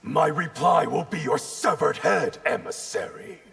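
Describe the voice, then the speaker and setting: An actor playing a cold, arrogant ice assassin with a husky, gravelly voice, making haughty threats in a video game. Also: male voice, on the high side, lively intonation, unhurried, fairly bright tone, exaggerated voice. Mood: cold menace. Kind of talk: video game fight banter.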